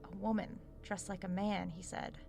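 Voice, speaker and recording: A mid-pitched female voice plays a worn-out writer unsettled by a haunted house. She is naturalistic, hesitant and guarded, as in an audio horror drama. Soft music sits faintly behind her. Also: flat delivery, moderate pace, gravelly. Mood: pensive, uneasy. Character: weary, uneasy woman author alone in haunted house.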